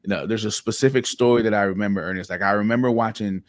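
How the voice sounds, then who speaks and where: A man's voice: on the low side, quick pace, dry recording, studio quality. A man from Haiti, a podcast guest, tells personal stories in an earnest way, repeating words as he starts his sentences. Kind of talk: reflective personal storytelling.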